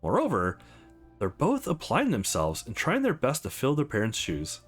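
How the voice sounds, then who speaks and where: A man voicing a shrewd, sentimental old lawyer in a visual novel, speaking formally and with measured care. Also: mid-range voice, huge pitch swings, faint music behind. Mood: dry, businesslike.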